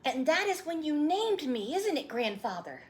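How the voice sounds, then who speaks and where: Woman voicing an eager, high-pitched little storybook boy. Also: big pitch swings, moderate pace, a little hiss or hum. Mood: proud, questioning. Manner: pleading, insistent questions. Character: eager young boy, earnest and questioning. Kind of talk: storybook read-aloud.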